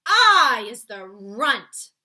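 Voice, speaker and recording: A woman's voice: on the high side, big pitch swings, extremely slow, bright, forceful tone, exaggerated voice. A woman voicing a big, friendly male giant from a children's storybook, with muddled grammar and nonsense words in an exclamatory manner. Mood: proud, emphatic. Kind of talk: read-aloud children's storybook character.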